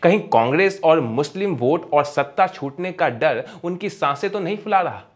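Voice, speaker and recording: A man narrates a scripted opinion commentary. His voice sits slightly high for a man, and he speaks emphatically, leaning on rhetorical phrasing. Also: lively intonation, normal speed.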